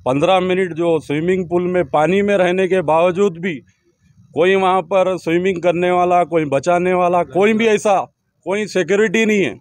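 A middle-aged man stands before a street crowd and speaks into news microphones. His voice is a little raised in pitch and sounds dry and close. He talks in a formal, emphatic way, pressing the same demands again and again. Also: steady tone, normal speed, no room sound. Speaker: community spokesman. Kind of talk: public appeal to media. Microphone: cluster of press microphones.

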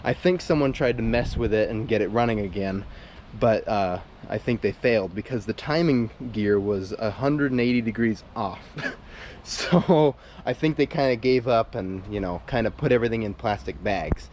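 A man's voice: lowish voice, normal speed, a little mellow, a little hiss or hum. A man showing off an old motorbike in a casual vlog, enthusiastic and rambling, with fillers and restarts, recorded outdoors on a handheld camera. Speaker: YouTube motorbike restorer. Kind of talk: casual show-and-tell vlog. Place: outdoors on a brick patio by a shed.

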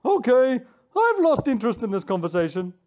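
Woman voicing a husky old male bird, loud, impatient, storybook, high voice. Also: sing-song, a little fast. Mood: bossy.

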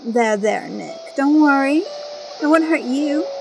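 A woman's voice: high-pitched, lively intonation, takes it slowly, gravelly, background noise, exaggerated voice. A woman playing a powerful, mocking young female ghost in a radio drama, taunting and casually smug.

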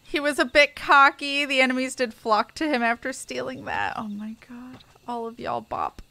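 High-voiced woman streaming a game. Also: fairly even intonation, normal speed, vocal fry, bright, forceful tone. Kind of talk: casual gameplay commentary, reading dialogue aloud. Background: game music.

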